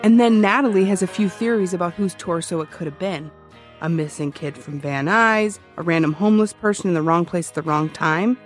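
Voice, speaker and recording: A woman's voice: lowish voice, a little fast, lightly acted. A woman playing an obsessive, defensive ex-cop who hosts a true-crime podcast, telling it conversationally with wry emphasis. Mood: wry, intent. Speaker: true-crime podcast host, former police officer. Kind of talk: conversational scripted narration in an audio drama. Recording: music behind the voice. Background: music.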